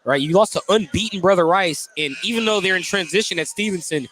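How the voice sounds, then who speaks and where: A man doing live radio play-by-play of a high school basketball game, describing the action in clipped running phrases. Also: fast talker, fairly bright tone, a little hiss or hum, poor audio. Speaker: play-by-play announcer.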